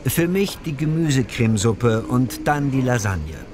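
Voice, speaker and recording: A man's voice: medium pitch, expressive, measured pace, natural voice. A man playing a polite father ordering in a restaurant in a scripted language-lesson dialogue.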